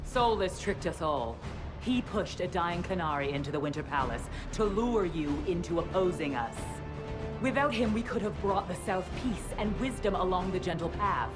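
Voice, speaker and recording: A woman voices a stern female zealot in a fantasy game. Her voice is on the low side, measured and solemn, with an ominous, self-righteous authority. Music plays clearly behind her. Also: expressive, lightly acted. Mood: solemn, menacing. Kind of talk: dramatic video game acting.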